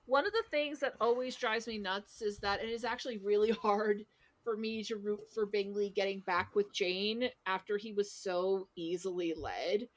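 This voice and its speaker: A woman's voice: medium pitch, fairly even intonation, moderate pace. A woman speaking casually as a panelist on a podcast. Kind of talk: casual chat.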